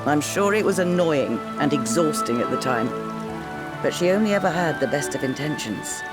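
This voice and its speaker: An actress playing a middle-aged grieving mother in a video game, tender and gently scolding, with measured, heartfelt phrasing. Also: deep voice, expressive. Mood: fond remembering. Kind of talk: dramatic video game voice acting. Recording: rain and music behind. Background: rain, music.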